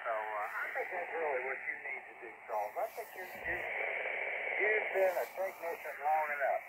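A man's voice: fairly high pitch, very animated, moderate pace. A man chatting casually as a ham operator over a two-way radio. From United States. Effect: two-way radio transmission. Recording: narrow, phone-like radio audio with static.

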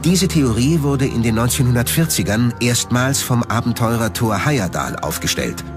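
Animated male documentary narrator over music. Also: mid-range voice, moderate pace. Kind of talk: scripted narration.

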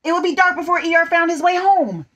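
A cranky, bossy storybook rabbit, acted by a woman barks his orders in a high, loud voice. He snaps at everyone around him. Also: a little fast. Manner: snappish and loud. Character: bossy, irritable male rabbit gardener.

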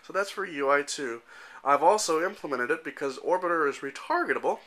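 Man in his thirties, bright forceful tone, informal explanatory demo narration, matter-of-fact, handheld camera mic in a home room. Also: fairly high pitch, animated, a little fast. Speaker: software developer presenting a demo. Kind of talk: informal product demonstration narration. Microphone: handheld camera microphone.

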